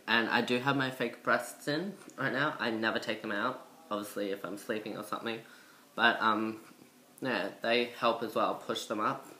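A young woman with a low, masculine-sounding voice and a slight cold, chatting casually to camera in a rambling, self-conscious way. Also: sharp tone. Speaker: vlogger. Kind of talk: casual chat to camera, transition update. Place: indoor room by a window. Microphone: built-in device mic at arm's length.

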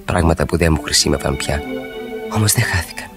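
A man's voice: extremely low pitch, over music. An actor playing a restless, eloquent romantic husband in his thirties in a 1960s radio play, with rhetorical, emphatic phrasing and repeated words. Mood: pleading, ardent.

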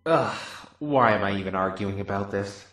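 A man's voice: lowish voice, normal speed, a bit bright. A man voicing a wry, suspicious young lawyer's dry inner monologue in a visual novel dub, with an echo effect. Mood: bewildered. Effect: echo for thoughts. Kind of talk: visual novel fandub acting. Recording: echoing inner-thought reverb.

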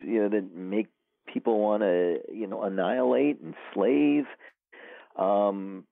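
Male author guest, very deep voice, halting and grave, phone-quality audio. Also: vocal fry, dry recording. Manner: halting, many restarts, somber. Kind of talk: casual interview talk. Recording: tinny phone line.

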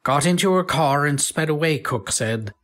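A man's voice: a little high, animated, normal speed, fairly bright tone. A man playing an anxious, deferential servant in a radio drama, formal and urgent. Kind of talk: old-time radio drama acting.

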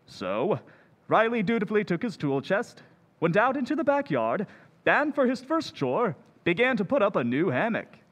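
High, lively male radio announcer. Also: in a large hall. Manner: measured, wry narration.

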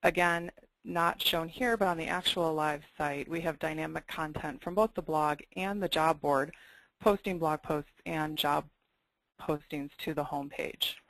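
A webinar presenter talks an audience through a series of slides, explaining each point plainly and now and then stumbling over a filler. The voice carries a gravelly, creaky edge, and it sounds dry and close, with no room around it. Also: mid-range voice, fairly even intonation, measured pace, a bit bright, dry recording, low-quality recording. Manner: clear, explanatory, occasional fillers. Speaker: web design presenter.

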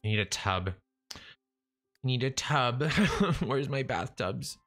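A man's voice: medium pitch, animated, slow, fairly bright tone, soft background music. A young man livestreaming a building game, talking to himself and chat, with a voice he says is going. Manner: chatty, self-talking, restarts and trails off. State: losing his voice. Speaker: livestreaming gamer. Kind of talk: casual live-stream chat while building. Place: small room at home. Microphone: studio mic in front of face.

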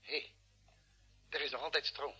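An actor playing a male space crewman with a rough, husky voice in a vintage Dutch radio play. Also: medium pitch, steady tone, a little slow, sharp tone, heavily acted. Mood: cautious.

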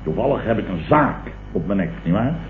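Man acting a pompous, blustering businessman, wildly animated. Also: fairly low pitch, fairly quick, natural voice. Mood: pompous, self-righteous. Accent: Dutch, from the Netherlands. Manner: pompous, indignant, declamatory, with repeated sputtering interjections. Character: blustering, pompous middle-aged businessman, opportunistically turned leftist. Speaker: radio comedy actor. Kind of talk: 1960s-70s radio comedy acting. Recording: tinny, echoey, hissy old radio recording.

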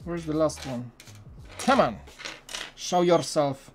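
A man's voice: on the high side, slow pace. A man in his thirties from Poland with a Polish accent, chatting casually on a live stream while building, with lots of fillers and restarts. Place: home studio room.

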